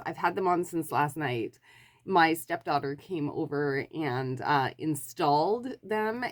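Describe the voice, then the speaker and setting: A woman with a cold, slightly nasal, chatting casually to camera as a book vlogger. She rambles, corrects herself and fills pauses with ums. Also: low voice. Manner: rambling, self-correcting, many fillers. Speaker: book YouTuber.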